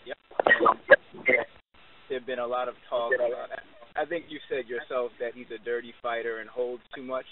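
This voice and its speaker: A man's voice: mid-range voice, little pitch movement, moderate pace, long pauses, crowd noise. A man working as a boxing reporter, asking long, hesitant questions on a press call. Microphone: phone call. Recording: hissy, narrowband phone conference line.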